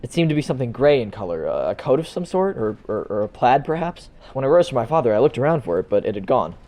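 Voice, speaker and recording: A man playing a guarded, earnest young son giving formal, halting testimony in an amateur audio drama. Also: mid-range voice, very animated, warm tone, close to an everyday voice. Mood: unsettled.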